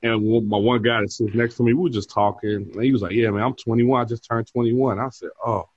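A man's voice: mid-range voice, steady tone, quick pace, husky, no room sound, muffled recording. A man in his forties, a bar manager, chatting casually as an interview guest and telling stories with frequent laughs. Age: 40s. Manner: easygoing storyteller who laughs often.

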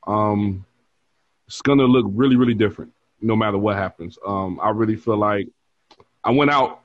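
A man's voice: on the low side, normal speed, dry recording, phone-quality sound. A man in his forties, a bar manager, chatting casually as an interview guest and telling stories with frequent laughs. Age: 40s. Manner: easygoing storyteller who laughs often.

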